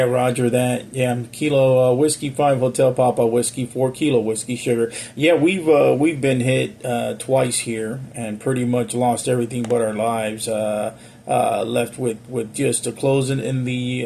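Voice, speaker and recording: A man chatting casually over amateur radio as an operator, courteous and measured in his radio procedure. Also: mid-range voice, fairly even intonation, gravelly, mellow. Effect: amateur radio transmission. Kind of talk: casual two-way radio chat. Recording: radio audio with faint hiss.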